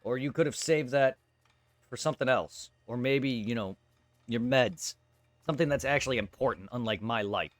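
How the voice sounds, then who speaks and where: A man's voice: medium pitch, normal speed, no room sound. A man talking off the cuff to his chat on a livestream, blunt and repetitive, swearing at times. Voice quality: normally very quiet voice. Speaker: live streamer. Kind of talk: unscripted livestream talk to chat.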